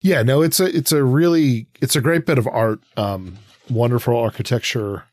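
A man co-hosting a casual discussion podcast, thinking aloud in a hesitant, halting way with many filler words. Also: mid-range voice, darkish tone, dry recording, studio quality. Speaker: podcast co-host.

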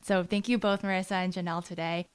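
A woman's voice: fairly low pitch, level tone, smooth. A woman hosting a podcast, wrapping up an interview with friendly thanks and laughter. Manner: friendly, giggly, quick thanks. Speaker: podcast host. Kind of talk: casual interview.

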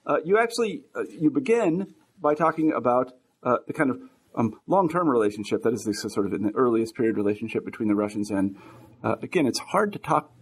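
A man's voice: medium pitch, animated, a little fast, slightly rough. A man interviewing a guest in a casual, conversational way, feeling his way through his questions with hesitant, rambling phrasing. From United States. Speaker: interviewer, history podcast host; university teacher.

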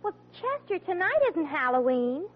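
An actress playing a sweet, doting young girlfriend in 1940s radio comedy, gently chiding and worried. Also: high voice, sing-song, normal speed. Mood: surprised. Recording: dull old radio recording, audience, noise.

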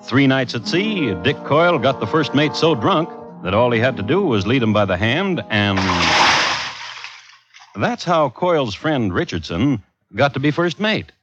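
A man hosting and narrating a 1950s radio crime series in a formal, wry, measured style. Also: mid-range voice, big pitch swings. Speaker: host and narrator. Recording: dull old radio recording with music.

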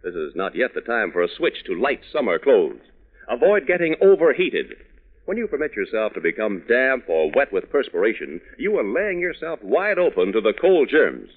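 A male radio announcer with a middling, very animated voice, reading in an emphatic, folksy 1940s style. The recording is narrow and tinny. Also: medium pitch, moderate pace.

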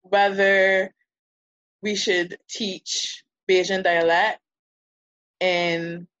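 A woman's voice: medium pitch, level tone, drawn-out words, a bit bright, no echo, dull audio. A woman on a video call, reflecting hesitantly in a class discussion. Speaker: student in a linguistics discussion.

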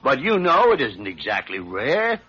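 An actor playing a gruff, sarcastic older senior doctor in an old radio drama. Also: male voice, mid-range voice, wildly swooping pitch, moderate pace, pushed and bright, heavily acted. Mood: irritable. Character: crusty, sharp-tongued older senior doctor, male. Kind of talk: 1940s radio drama acting. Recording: dull old radio recording.

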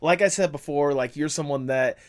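A man's voice: on the high side, fairly quick. A man hosting a podcast, asking his guest earnest questions in a casual tone. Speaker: podcast host. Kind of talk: casual interview chat.

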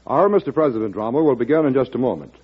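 Earnest American radio announcer, tinny and husky. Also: male voice, mid-range voice, lively intonation, rapid-fire. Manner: formal, earnest oratorical delivery. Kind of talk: scripted 1940s radio announcing. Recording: tinny, phone-like vintage radio broadcast.

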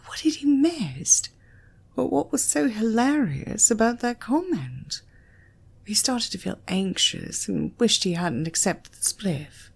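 A woman's voice: mid-range voice, very animated, slow pace, gravelly, breathy edge. A woman with a British accent, reading a scripted story expressively as an audiobook narrator.

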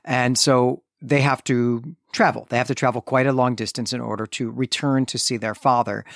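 A man's voice: medium pitch, steady tone, normal speed, vocal fry, no echo, clean studio sound. A man hosting a conversational book discussion, explaining his reasoning at length in an expository way. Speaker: podcast host. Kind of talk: conversational literary recap and discussion.

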